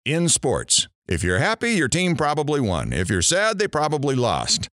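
A man's voice: on the high side, huge pitch swings, moderate pace, fairly bright tone, dry recording, clean studio sound. A man playing a brash, wisecracking morning radio host in an audio drama, with sarcastic, theatrical delivery. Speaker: radio talk show host.

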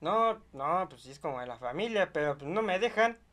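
A high-voiced old rancher , acted out by a young man, stubborn and folksy. He pleads and grumbles haltingly, circling back to the same request, in an improvised prank call. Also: lively intonation, heavy background noise. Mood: wistful pleading. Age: young adult. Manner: pleading, hesitant, folksy, repetitive. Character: insistent rural rancher, older man, stubborn, grumbling, attached to his donkey. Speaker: prank caller. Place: small bedroom studio, indoors.

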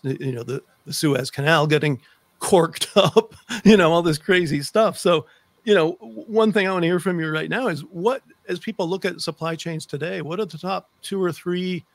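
Higher-pitched male host, dry close sound. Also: moderate pace, gravelly, dry recording. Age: middle-aged. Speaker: podcast host. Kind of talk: casual interview chat. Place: indoor home office with bookshelves. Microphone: desk microphone, close, on a video call.

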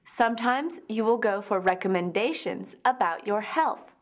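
A young woman teaching a grammar lesson on camera in a studio, explaining slowly and carefully to learners. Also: mid-range voice, expressive. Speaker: language teacher. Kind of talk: scripted video lesson.

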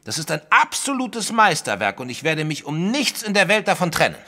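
An actor playing a proud, stubborn gentleman art collector, huffy and emphatic, in a German audio drama. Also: a little high, big pitch swings, a little fast, big-room sound, heavily acted. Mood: indignant, proud. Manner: huffy, emphatic, self-satisfied.

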